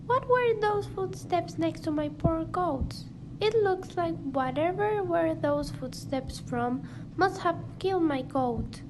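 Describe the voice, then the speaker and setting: A woman playing a frightened farmer lady in an amateur radio drama, speaking slowly and deliberately. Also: very high voice, expressive, heavily acted. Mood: puzzled, uneasy. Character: worried farmer lady frightened for her killed goats. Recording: noisy amateur home recording.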